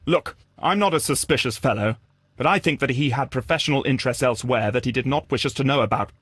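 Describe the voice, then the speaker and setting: An actor playing a guarded, disdainful Victorian gentleman in a video game, answering formally and dismissively. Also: mid-range voice, fast talker, breathy edge, dry recording, clean studio sound. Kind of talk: scripted video game voice acting.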